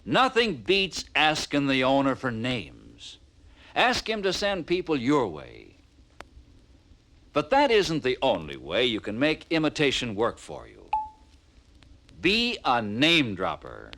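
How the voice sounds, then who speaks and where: An American man narrates like a vintage fifties training film. His pitch swings dramatically as he presses each point with punchy, persuasive phrasing and pointed rhetorical questions. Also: huge pitch swings, slow, fairly bright tone, a little hiss or hum. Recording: vintage 1950s film soundtrack.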